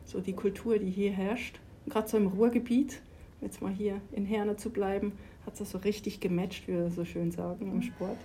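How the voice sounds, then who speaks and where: Woman, lively interview talk. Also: mid-range voice, moderate pace, a little hiss or hum. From Switzerland. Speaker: sports organization official. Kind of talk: conversational interview.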